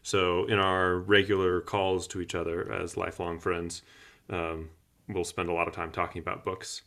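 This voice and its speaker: A thirty-year-old man with a deep voice and a noticeable fry in it, co-hosting a podcast. His tone is bright and forward, and he talks in a casual, conversational way. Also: little pitch movement, normal speed. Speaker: podcast co-host.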